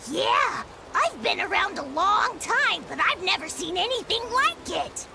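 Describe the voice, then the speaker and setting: Bienfu, a woman doing a squeaky little spirit creature. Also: sing-song, a little slow, over a noisy crowd, exaggerated voice. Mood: awed. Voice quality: squeaky, creaky high voice. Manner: nervous, stammering. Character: tiny timid ancient male spirit creature. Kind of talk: game dub acting.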